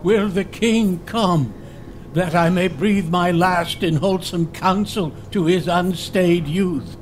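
A man playing a dying old nobleman, fervent and prophetic, speaking breathy, laboured verse in a Shakespearean radio drama. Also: high voice, slow, a little hiss or hum. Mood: weak, hopeful. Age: older.

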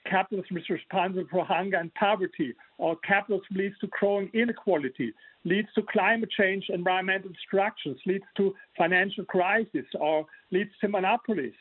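A man's voice: high voice, brisk, husky, no echo. A man from Germany with a German accent, an author explaining his views in an earnest way with some restarts, heard over a remote line. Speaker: author guest. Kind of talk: remote interview. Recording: tinny phone-like line.

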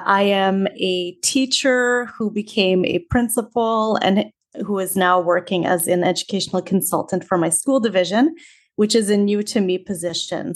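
Canadian woman guest, friendly and measured. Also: mid-range voice. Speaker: teacher and author, guest. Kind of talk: casual interview storytelling.

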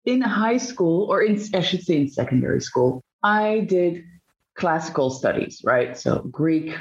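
Lively Dutch-accented woman, lo-fi audio. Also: lowish voice. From Belgium. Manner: animated, emphatic storytelling with drawn-out phrases. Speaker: guest, journalist and sinologist. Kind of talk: conversational interview answers.

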